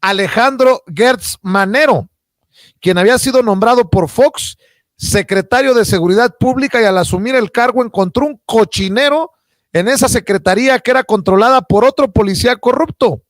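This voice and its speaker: A man's voice: extremely high pitch, moderate pace, no room sound. A man with a Mexican accent hosting a political news show, delivering emphatic, accusatory commentary partly read from notes.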